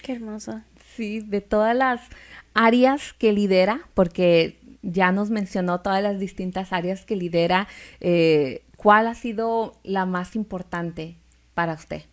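A woman's voice: medium pitch, unhurried. A woman interviewing a guest, asking questions and giving short affirming replies. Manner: warm, affirming, short back-channel replies.

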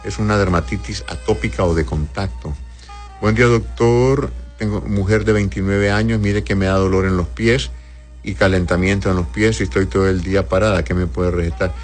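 A man hosting a call-in medical advice radio show, reading listener messages and giving brisk, blunt advice with blessings. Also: low-pitched, steady tone, faint music behind. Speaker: radio doctor answering listener questions.

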